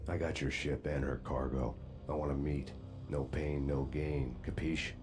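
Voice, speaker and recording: An actor playing a grim, world-weary noir vigilante in a video game, brooding and deadpan in hard-boiled monologue. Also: male voice, a bass voice, moderate pace, faint music behind. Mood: terse, threatening.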